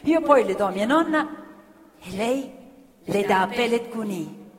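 A woman's voice: on the high side, animated, a little slow. An actress playing a poor, cheeky young working-class girl on stage, blunt and teasing. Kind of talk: stage theatre acting. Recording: live stage recording in a reverberant theatre with violin.

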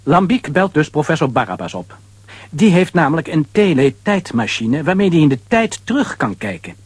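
A man's voice: a little high, very animated, normal speed, slightly rough, no echo. A man narrating a children's audio play as a conversational, dramatic storyteller.

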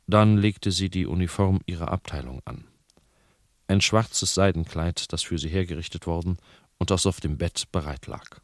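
A man's voice: sits low, no room sound, clean studio sound. A man reading literary prose aloud as a narrator, in scripted storytelling sentences. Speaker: narrator reading a novel excerpt.

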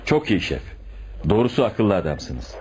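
A cocky eager detective in a radio play, lowish voice, super animated, reporting to the boss. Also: male voice, measured pace, a little hiss or hum, poor audio. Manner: confident, reporting. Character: eager, self-assured police detective, loyal subordinate. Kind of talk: radio drama acting.

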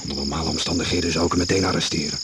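A man's voice: a bass voice, fairly even intonation, racing. An actor playing a blunt, matter-of-fact policeman in a Dutch radio drama. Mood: blunt, certain. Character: younger policeman, blunt, brisk, eager to arrest. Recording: noisy old radio play recording.

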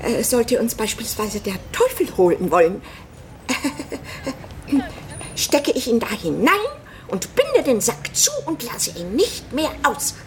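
A woman's voice: fairly high pitch, sing-song, takes it slowly, long pauses, a little hiss or hum. Eccentric lady, wildly swooping voice. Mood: whimsical, gracious. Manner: whimsical, imperious, theatrical. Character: eccentric, suspicious, haughty lady of the house. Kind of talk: radio drama acting.

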